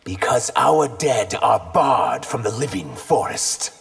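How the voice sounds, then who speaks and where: A man's voice: mid-range voice, animated, slow pace, gravelly. A man playing an earnest, wounded outcast warrior in a fighting game, speaking in a guarded way. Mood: bitter. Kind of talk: fighting game dialogue acting. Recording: music over the voice.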